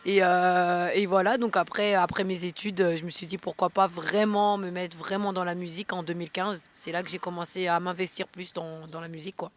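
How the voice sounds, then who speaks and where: A woman's voice: fairly low pitch, level tone, brisk, few pauses, slight background noise. A young woman, a singer answering interview questions casually and repeating phrases for emphasis. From France.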